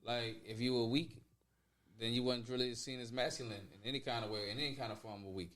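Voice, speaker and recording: Halting New Orleans man, slangy. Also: mid-range voice, steady tone, fairly bright tone. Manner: halting, searches for words, says "dog" and "bro". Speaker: podcast co-host. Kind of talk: casual podcast discussion.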